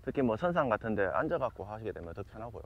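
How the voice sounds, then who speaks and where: Male fishing vlogger, lowish animated voice, outdoors with dull sound. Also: rapid-fire. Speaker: host of a fishing channel. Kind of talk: casual outdoor fishing vlog with gear explanations. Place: outdoors on a seaside walkway. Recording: dull outdoor sound with faint background noise.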